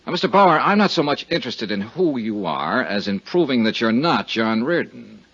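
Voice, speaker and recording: Persistent, courteous radio investigator of the 1950s: husky, animated delivery, cool temper, lo-fi vintage sound. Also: male voice, mid-range voice, normal speed, heavily acted. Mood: reassuring, firm. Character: cool, persistent, polite male insurance investigator. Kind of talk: 1950s radio detective acting and first-person narration. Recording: dull 1950s radio recording.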